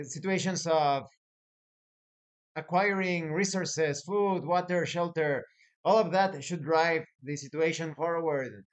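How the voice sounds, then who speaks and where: A man hosting a tabletop gaming advice video as a game master, speaking in a deliberate, emphatic way with dramatic lists. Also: fairly high pitch. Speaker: host, professional game master.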